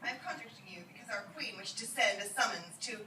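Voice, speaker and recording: Haughty vampire envoy, acted by a woman, formal and deadpan, comic reading, roomy and noisy recording. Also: a little high, brisk, natural voice. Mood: formal, resigned. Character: haughty, weary male vampire delivering formal greetings. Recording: roomy, noisy, distant old iPod recording.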